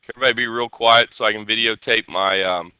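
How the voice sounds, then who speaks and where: A man's voice: lowish voice, fairly even intonation, fast, sharp tone, clear, resonant tone, dry recording, rough recording. A man teaching a live coding class, congested and nasal with a head cold, often checking in with his students.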